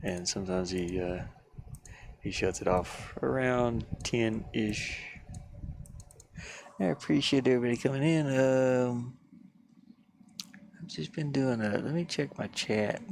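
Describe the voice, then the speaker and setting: A man chatting casually on a livestream while he works, in a halting, rambling, easygoing manner. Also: medium pitch. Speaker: livestreamer, hobby game artist. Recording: clear background noise, live stream.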